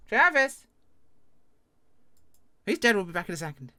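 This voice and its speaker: A woman's voice: mid-range voice, sing-song, moderate pace, sharp tone. A woman hosting a gaming channel, chatting casually and improvising in-character roleplay talk.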